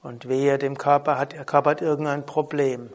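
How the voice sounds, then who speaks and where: A man teaching a spoken lesson on yoga philosophy in a calm, measured way, often asking a soft "hm?". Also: fairly high pitch. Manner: calm, measured, with frequent soft trailing drops and questioning "hm?". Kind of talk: spoken lesson on Vedanta terms.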